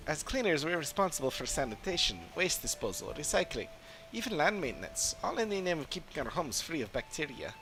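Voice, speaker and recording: A friendly, businesslike cleaner from a video game, voiced by a man reading aloud. The delivery is explanatory and lightly animated. Game music plays clearly underneath. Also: on the high side, brisk, voice pushed up, rough recording.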